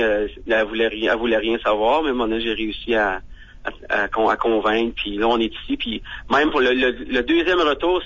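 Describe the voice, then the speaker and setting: A man's voice: medium pitch, a bit bright. A man from Ontario, a guest on a casual radio interview who rambles and searches for words. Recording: muffled radio line.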